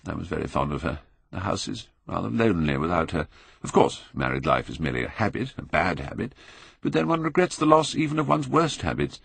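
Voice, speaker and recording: Casting: male narrator playing jaded British lord, older, epigrammatic, low creaky voice, elastic intonation. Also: fairly bright tone, no echo, exaggerated voice. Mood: wistful, wry. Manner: drawling, epigrammatic, blasé. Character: languid, witty, cynical Victorian aristocrat, older gentleman.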